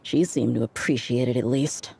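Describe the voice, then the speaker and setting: An actress playing an easygoing, confident female mercenary in a video game dub, talking in a relaxed, joking way. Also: a bass voice, moderate pace, creaky, breathy edge, exaggerated voice.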